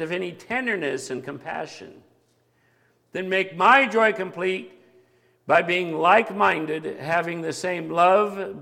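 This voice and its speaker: Slow, gravelly man preaching in a hall. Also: on the high side. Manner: big voice, grows animated at times. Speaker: pastor. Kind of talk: sermon.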